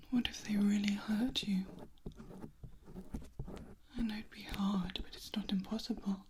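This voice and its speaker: A protective, ageless vampire played by a woman murmurs in a breathy whisper for an ASMR roleplay. She is slow, hushed and tender, wary yet gentle. The voice sits at a medium pitch, very close to the microphone, with noticeable noise behind it. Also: natural voice, rough recording. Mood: tender concern. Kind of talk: soft-spoken ASMR roleplay. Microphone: close ear-to-ear ASMR microphone. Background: noise, clicking and picking sounds.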